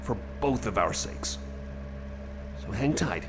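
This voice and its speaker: A man's voice: lowish voice, animated, slow pace, background noise. A man playing a friendly, anxious cyborg companion in an ASMR roleplay, rambling hesitantly and repeating himself as he chats to the listener. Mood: wary, protective.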